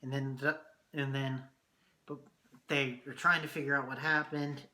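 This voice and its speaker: A man reviewing a TV episode in a casual vlog, excitable and swearing often, his voice going hoarse. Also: medium pitch, moderate pace. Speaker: TV review vlogger.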